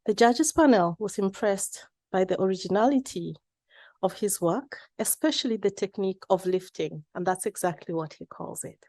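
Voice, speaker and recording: A woman introduces an honoree at an award ceremony. Her pitch is average for a woman and the sound is dry, with little room in it. She alternates between conversational asides and prepared text. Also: normal speed, a little mellow, no room sound. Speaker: ceremony presenter. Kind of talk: semi-scripted award introduction.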